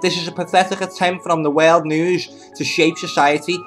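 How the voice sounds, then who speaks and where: A man's voice: a little high, steady tone, normal speed, fairly bright tone. A man in his thirties giving blunt, scornful scripted commentary in the manner of an opinionated host. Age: 30s. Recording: music playing under the voice.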